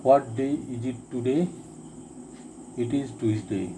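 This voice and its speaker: A man teaching a spoken lesson, reading each drill sentence twice with deliberate care. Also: mid-range voice, mellow, slight background noise, rough recording. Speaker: English teacher. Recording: crickets and insects chirping behind.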